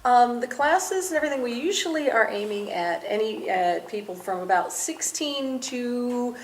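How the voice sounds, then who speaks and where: A woman's voice: fairly high pitch, animated, normal speed, a little hiss or hum, low-quality recording. A middle-aged woman who teaches belly dance, answering interview questions in a chatty, self-deprecating way and laughing often.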